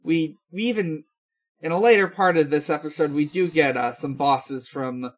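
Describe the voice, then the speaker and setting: A man hosting a podcast, chatting casually through a recap with a halting, hesitant delivery and frequent pauses. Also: a little high. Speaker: podcast host. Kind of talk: casual recap talk with co-hosts.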